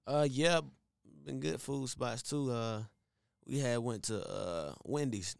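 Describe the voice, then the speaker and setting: Young man, slightly raspy, gravelly, laid-back drawl, dry close recording. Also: mid-range voice, slow, no room sound. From Atlanta, USA. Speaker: R&B singer guest. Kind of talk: casual interview chat.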